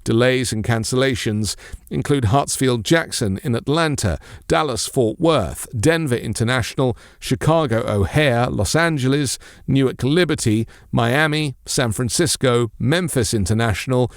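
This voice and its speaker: Male news presenter, mid pitch, formal measured read of scripted copy, very dry recording. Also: no echo.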